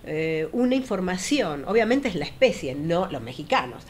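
A middle-aged woman with an Argentine accent, speaking as a studio guest in a thoughtful, measured way with frequent fillers. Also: on the low side, very animated, a crowd in the background. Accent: Argentine Spanish. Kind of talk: casual studio conversation. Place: small TV studio.

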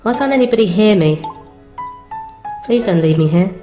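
A woman voicing a frightened, hurt young boy who pleads haltingly and repeats words. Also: medium pitch, animated, dark tone, natural voice. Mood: desperate, pleading. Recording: echoey, music behind the voice.

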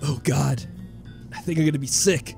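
A man's voice: on the high side, moderate pace, creaky, darkish tone, faint music behind. A man voicing a sullen, bitter young man in a visual novel game, grumbling and whiny. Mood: sick, bitter.

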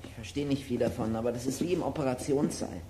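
A performer playing an articulate, excitable and slightly anxious boy detective in a children's audio drama. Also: mid-range voice, fast, noisy background, close to an everyday voice. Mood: curious.

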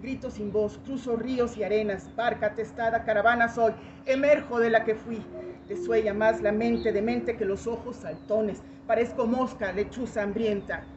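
A woman's voice: fairly high pitch, fairly even intonation, moderate pace. A woman performing a dramatic poetic monologue in short fragmented phrases, with intense, incantatory theatrical delivery. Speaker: poetry performer. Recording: dull lo-fi recording with loud noise.

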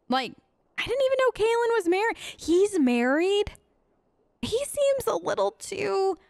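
High-pitched chatty woman gamer. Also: no room sound, studio quality. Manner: chatty, polite, rambling. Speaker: gaming video host. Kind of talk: improvised role-play chat over a video game.

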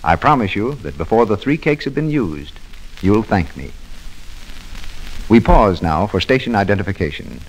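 A man's voice: lowish voice, sing-song, normal speed, pauses often, a little hiss or hum, poor audio. A man announcing a 1940s radio advertisement in a polished, formal manner.

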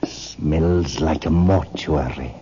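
An actor playing a fussy, skeptical, genteel sidekick in a 1950s radio drama, arch and droll. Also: male voice, a bass voice, slow pace, dark tone, husky, heavily acted. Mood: droll, puzzled. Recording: muffled, noisy old radio recording with clicks.

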